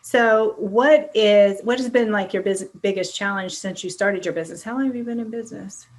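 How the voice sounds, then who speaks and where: A woman's voice: mid-range voice, brisk. A middle-aged woman hosting a podcast, chatting informally and agreeably in a casual interview. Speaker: podcast host interviewing a guest.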